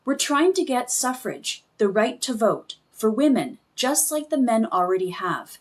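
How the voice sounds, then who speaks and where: An earnest suffragette mother from a storybook, played by a woman reader. She explains patiently and with emphasis, in a slightly high, animated voice. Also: a little slow. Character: earnest, attentive suffragette mother of a young girl.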